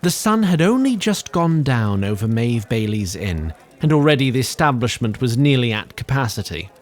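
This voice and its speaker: A man's voice: mid-range voice, sing-song, moderate pace, close to an everyday voice. A man playing the formal, theatrical narrator of a fantasy audio drama. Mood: measured storytelling. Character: storyteller narrating a fantasy epic tale.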